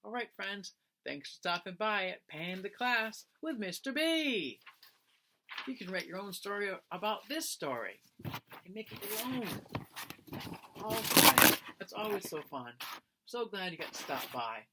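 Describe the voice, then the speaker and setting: A man reads a picture book aloud in the expressive manner of a teacher with young listeners. His voice sits a little high and swings widely in pitch. He takes his time, with a slow, friendly delivery. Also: heavy background noise. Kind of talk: animated children's read-aloud.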